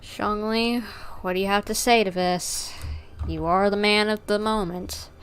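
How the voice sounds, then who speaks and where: A woman's voice: medium pitch, steady tone, unhurried. Casual woman livestreaming a game. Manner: conversational, reacting aloud to characters. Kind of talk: casual live game commentary.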